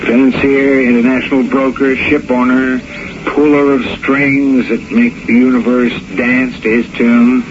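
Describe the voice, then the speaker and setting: Male actor as worldly embassy agent, wry, measured, mid-range voice, 1970s radio drama, dull noisy recording. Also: medium pitch, flat delivery, lightly acted. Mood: dry, knowing. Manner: dry, wry, measured, wordy. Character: wry, worldly embassy intelligence man, steady friend.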